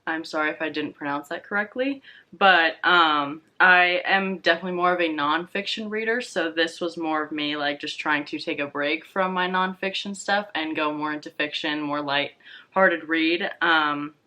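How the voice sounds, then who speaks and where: A woman's voice: low-pitched, steady tone, moderate pace, pushed and bright. A young woman chatting casually about books as a vlog guest, with informal, tentative phrasing. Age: young adult. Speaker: guest co-host on a book vlog. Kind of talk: casual book chat.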